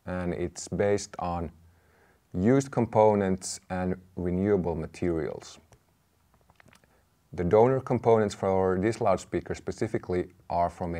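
A man in his thirties giving a measured, explanatory product presentation to camera. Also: sits low, warm tone. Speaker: presenter, company representative. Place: indoor room with brick wall, seated at a table.

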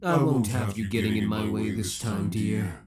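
A man's voice: on the low side, steady tone, normal speed, crowd noise, natural voice. A man playing an obsessive young villain in a roleplay audio drama, theatrical and formal, taunting with cold affection. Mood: menacing, mocking. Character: obsessive young male villain, possessive, menacing, coldly affectionate.

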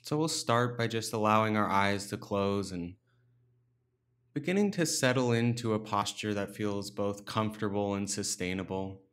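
Male meditation guide, lower register, calm instructional delivery, takes time between short phrases. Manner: calm, unhurried instruction with pauses. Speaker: meditation teacher.

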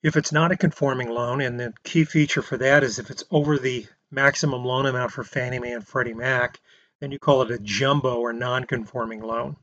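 Male instructor, mid-pitched, steady lecture voice-over; dry, slightly muffled recording. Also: fairly quick, dry recording. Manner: plain explanatory delivery with restarts and filler words. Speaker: real estate instructor. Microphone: voice-over on slides.

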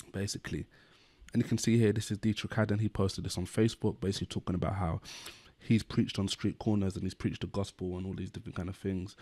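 Earnest man with a low, dry voice. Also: a little mellow, dry recording. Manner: earnest, explanatory, leans heavily on "basically". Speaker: channel host. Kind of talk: Bible-based commentary on a news story. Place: indoor room, talking to camera.

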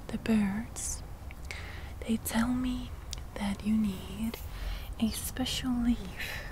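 A young woman playing a gentle forest witch healer in a whispered ASMR roleplay, soft-spoken and repeating words hypnotically. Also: on the high side, noisy background. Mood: gentle, coaxing. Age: 20s. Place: outdoors in a leafy garden.

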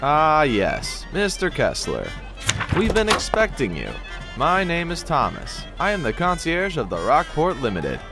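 A man's voice: on the high side, huge pitch swings, a little slow, over loud music, exaggerated voice. A man voicing a polite, formal male train concierge in a fan comic dub, courteous and a bit flustered.

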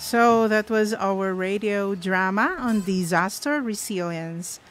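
A woman with a Filipino accent hosting a radio program from a small studio, speaking softly and gently. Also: medium pitch, takes it slowly, music in the background. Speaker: radio host. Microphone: studio microphone on a boom arm.

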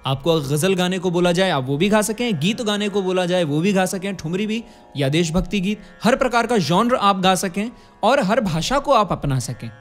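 A man's voice: high-pitched, lively intonation, normal speed. A man in his twenties with an Indian accent, teaching vocal music in an explanatory way, demonstrating sounds and checking in with tag questions. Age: 20s. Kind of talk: instructional talk on singing and diction. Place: indoor curtained studio. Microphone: studio condenser microphone close in front.

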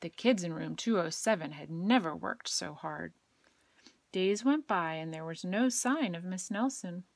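A woman with a gentle, expressive storytelling manner, reading a children's picture book aloud. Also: fairly low pitch, a little slow, gravelly, dry recording. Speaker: educator and child care provider reading aloud.

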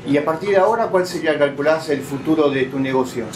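Interviewer, friendly and steady, slightly high voice, echoey room with noise. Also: male voice, quick pace. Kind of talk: casual interview.

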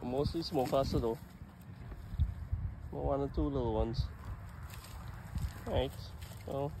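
A man's voice: mid-range voice, takes it slowly. A man with a South Florida accent, filming on a phone outdoors beside a canal and giving loose, clipped commentary as a net is pulled in. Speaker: vlogger filming fishing. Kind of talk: casual running commentary. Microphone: handheld phone. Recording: loud outdoor noise.

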